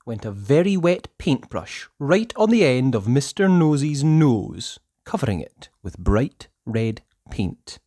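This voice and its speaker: Children's book narrator, theatrical and deliberate, very wide swoops of pitch, slow delivery, dry close sound. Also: big pitch swings, no echo. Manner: theatrical, deliberate, with hushed passages and emphatic words. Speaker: storyteller reading a children's book aloud. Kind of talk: expressive children's story narration.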